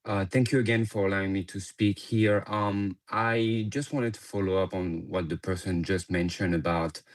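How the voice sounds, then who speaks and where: A man with a French accent giving public comment at a board meeting, speaking haltingly with frequent fillers and restarts. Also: low voice, steady tone. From France.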